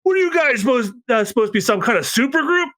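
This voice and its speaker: A man voicing a brash male shipwright gang boss who rants loudly. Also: very high-pitched, lively intonation, quick pace, voice pushed up, exaggerated voice. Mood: indignant. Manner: loud, ranting.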